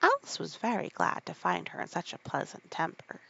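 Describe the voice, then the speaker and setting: A woman reading aloud in a drowsy, soft-spoken, rambling bedtime style, with casual asides. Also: fairly low pitch, huge pitch swings, normal speed, creaky, breathy edge, lo-fi sound.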